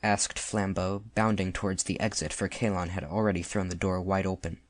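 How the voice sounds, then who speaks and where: A man's voice: sits low, level tone, normal speed, no room sound. A man narrating classic fiction from a script as an audiobook narrator.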